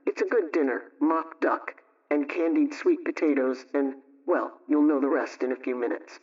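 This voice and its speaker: Higher-voiced man on dull old radio. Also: fairly even intonation, moderate pace, a little mellow, recorded from a distance. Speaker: household advice program host. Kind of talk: scripted old-time radio homemaking chat.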